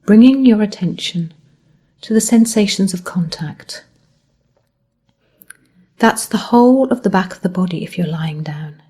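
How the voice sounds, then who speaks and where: A woman guiding a body scan meditation as a mindfulness teacher, speaking gently in measured phrases that end softly. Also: mid-range voice, expressive, breathy edge.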